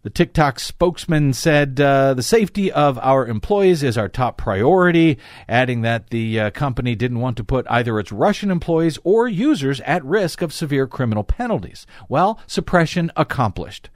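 Animated male talk host, close dry sound. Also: mid-range voice, normal speed, no room sound. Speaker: talk show host and commentator. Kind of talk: news commentary, reading article excerpts aloud with asides.

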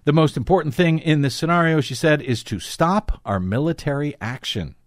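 A man's voice: fairly high pitch, normal speed, dry recording, clean studio sound. A man hosting a talk program, reading news excerpts aloud and commenting on them in an emphatic way. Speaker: talk show host and commentator.

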